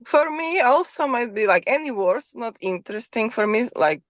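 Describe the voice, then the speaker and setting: Ukrainian woman speaking halting English. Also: a little high, lively intonation, dry recording, studio quality. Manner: halting, searching for words. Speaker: guest, history content creator.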